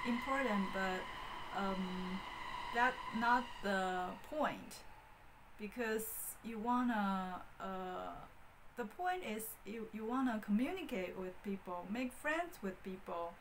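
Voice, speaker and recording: A woman's voice: mid-range voice, a bit bright, slight background noise, rough recording. A woman in her thirties from Beijing with a Mandarin Chinese accent, talking casually to camera in a small room, hesitant and full of fillers. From Beijing, China. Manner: hesitant, many fillers and restarts. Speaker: vlogger. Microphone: wired handheld mic.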